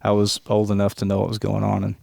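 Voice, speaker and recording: A man in his late thirties from Tennessee, a police aviation unit leader telling his life story in a relaxed podcast interview, chuckling often. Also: fairly low pitch, fast, vocal fry, dry recording, clean studio sound. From West Tennessee, USA. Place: hangar office.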